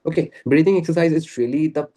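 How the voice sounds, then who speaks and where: A man's voice: fairly high pitch, fast talker, mellow. A man talking earnestly and at length on a video call, laughing often. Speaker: guest. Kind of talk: casual conversation practice.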